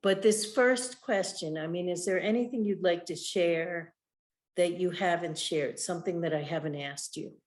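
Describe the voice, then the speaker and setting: A woman interviewing an artist over a video call, asking thoughtful, measured questions with frequent "you know". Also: lowish voice. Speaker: interviewer, writer and curator. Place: home in the Southwest.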